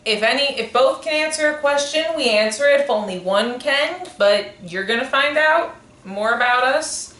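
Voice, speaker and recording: A woman's voice: high-pitched, expressive, normal speed, hardly any pauses, fairly bright tone. A woman in her twenties co-hosting a podcast, chatting casually and rambling as she corrects herself. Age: 20s. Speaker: podcast co-host. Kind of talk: casual chat. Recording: echoey room, faint noise.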